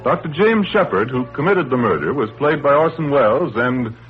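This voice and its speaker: An American man announcing in polished 1930s radio style, as presenter and sponsor pitchman. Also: animated, normal speed, slightly rough. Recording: tinny 1930s radio broadcast, music behind.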